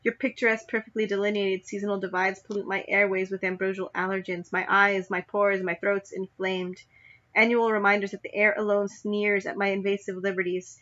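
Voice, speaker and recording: Brief: young woman poet, flat steady delivery, deliberate, slightly muffled lo-fi recording. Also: mid-range voice. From United States. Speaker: spoken word poet. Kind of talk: spoken word poetry reading. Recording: dull lo-fi recording.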